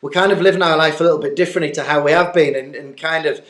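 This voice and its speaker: A man's voice: fairly high pitch, steady tone, racing, fairly bright tone. A man in his early forties doing a casual interview, talking in a rambling, enthusiastic, informal way. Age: early 40s. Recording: echoey room.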